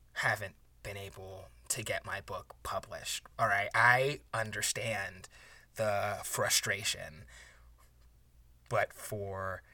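A man's voice: on the low side, sharp tone, dry recording, full character voice. A man playing a bitter, insecure aspiring writer in an improvised comedy drama, agitated, stammering and sarcastic. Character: bitter, insecure aspiring male writer jealous of his rival.

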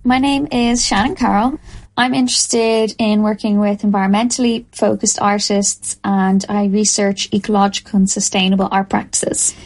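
A woman's voice: medium pitch, flat delivery, normal speed. A woman from Dublin with an Irish accent, talking reflectively and earnestly about herself and her work as a curator. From Dublin, Ireland. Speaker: art curator, interviewee. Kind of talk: reflective first-person profile talk.

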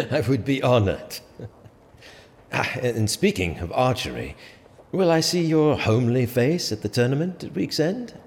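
An actor playing a smug, self-assured sheriff in a medieval audio drama, talking in an urbane, teasing manner. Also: male voice, mid-range voice, sing-song, measured pace, a little mellow. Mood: smug, playful. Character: smug, confident male sheriff, a prince's trusted friend. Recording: reverberant hall with faint music behind.

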